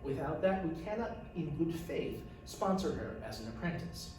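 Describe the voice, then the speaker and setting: A composed, diplomatic woman in authority from a fantasy storybook, as a man reads her. The voice is courteous and firm with lively rises in pitch, set back from the mic in a very echoey room. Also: normal speed. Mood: measured, firm. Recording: echoey room, distant mic, faint noise.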